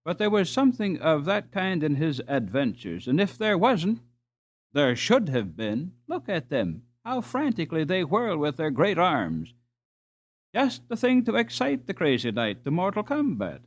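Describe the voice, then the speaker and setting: A man voicing an eager, bookish, talkative schoolboy in a storybook, animated and chatty. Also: on the high side, measured pace, voice pushed up, full character voice. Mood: eager, amused. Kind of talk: storybook character dialogue.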